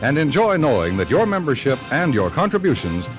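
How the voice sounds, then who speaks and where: A man's voice: fairly high pitch, very animated, a little fast. An American man announcing formally in a polished, scripted 1950s radio style. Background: music.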